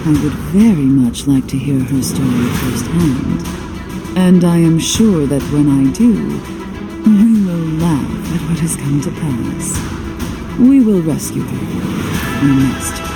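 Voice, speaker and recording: An actress playing a grave, dignified woman in a fantasy game, speaking formally and deliberately with loyal resolve. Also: low-pitched, poor audio. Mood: resolute. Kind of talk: scripted fantasy game acting. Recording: loud music behind.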